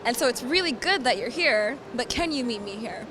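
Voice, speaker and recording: A woman's voice: fairly high pitch, lively intonation, a little fast. A young woman from Sudan, raised in Philadelphia, answering interview questions about her poetry in an earnest, reflective way, often repeating words as she thinks. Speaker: poet and climate refugee advocate. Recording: clear background music under voice.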